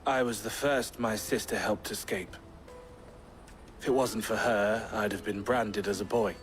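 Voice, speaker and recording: A man's voice: fairly low pitch, steady tone, measured pace, lightly acted. An actor playing an affable, dutiful and slightly roguish male trader in a fantasy game, with an easygoing, lightly wry way of talking. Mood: earnest. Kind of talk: scripted fantasy game voice acting. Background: background music.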